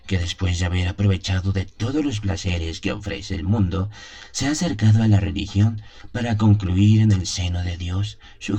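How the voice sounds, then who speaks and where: A man acts out an elderly country priest in a radio drama, his voice very low. He is haunted and wistful, confiding his story deliberately, with weighty pauses. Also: dark tone. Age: 70s as played. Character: seventy-year-old country priest, haunted, confessional, wistful. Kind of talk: dramatised first-person radio drama monologue.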